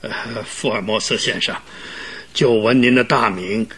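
An older man acting in a radio drama as a stooped, ailing old landowner, with a gruff, halting, courteous delivery. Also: lowish voice, crowd noise, lightly acted. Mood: hesitant, polite. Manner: halting, hesitant.

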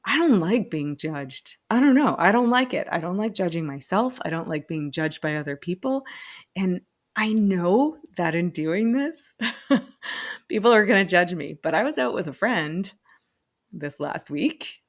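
A woman's voice: fairly low pitch, animated, a little slow. A woman in her late forties hosting her own podcast, talking candidly and with self-deprecating humor, laughing often. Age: 40s. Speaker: podcast host. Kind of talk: personal solo podcast monologue.

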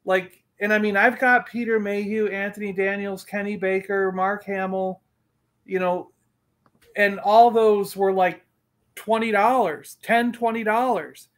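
High-pitched middle-aged man chatting casually. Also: little pitch movement, unhurried, fairly bright tone. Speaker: collector and panelist on a fan livestream. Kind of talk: casual chat.

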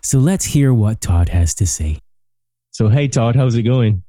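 A man from Barbados hosting a podcast, reading a measured scripted intro and then asking questions. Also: medium pitch, expressive, mellow, no echo, studio quality. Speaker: podcast host.

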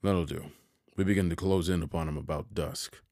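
A man reading an audiobook who voices a brisk, hearty soldier sergeant in loud, clipped tones. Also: low voice, fairly even intonation, no room sound. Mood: commanding. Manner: loud, clipped military orders. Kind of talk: audiobook character voice. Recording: dry close studio sound.

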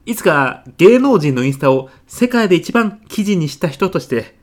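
Comedy audio drama: man acting as a polite, self-important dad, earnest and chatty, with swooping, animated delivery. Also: sits high, moderate pace. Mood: earnest, proud. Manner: polite, talkative, matter-of-factly proud. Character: earnest, self-important stay-at-home-working dad, celebrity gossip writer.

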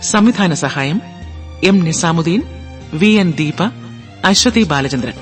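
An announcer reads scripted credits for a radio drama. The delivery is very slow and the pitch medium, with loud instrumental music behind the voice. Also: lively intonation.

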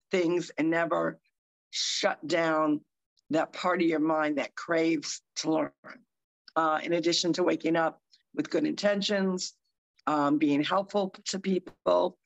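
A woman co-hosting a live talk show over a video call, hoarse and scratchy, chatting in an upbeat, encouraging way. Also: deep voice, lo-fi sound. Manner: upbeat, encouraging, rambling asides. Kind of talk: casual motivational chat.

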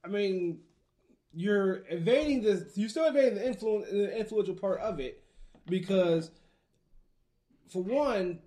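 A young man in casual conversation, arguing slowly with many restarts and false starts. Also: high voice, warm tone. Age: young adult. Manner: hesitant, restarts a lot, laughs. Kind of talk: casual debate chat.

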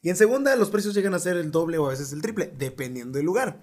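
Brief: Mexican male co-host, animated and explanatory, slightly raised pitch. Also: quick pace. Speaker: podcast co-host. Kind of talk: casual chat.

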